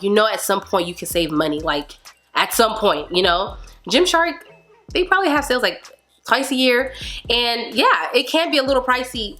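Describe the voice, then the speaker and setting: A young woman with a cold and a slightly stuffy, nasal voice, chatting animatedly in a casual haul review from her couch at home. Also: mid-range voice. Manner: animated, talks with her hands. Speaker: beauty and fitness vlogger. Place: living room, seated on a leather couch indoors.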